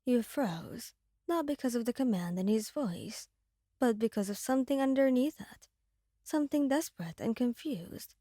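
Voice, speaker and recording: A woman's voice: fairly high pitch, expressive, moderate pace, mellow, no room sound, clean studio sound. A woman reading scripted dramatic narration as the storyteller of an ASMR audio roleplay.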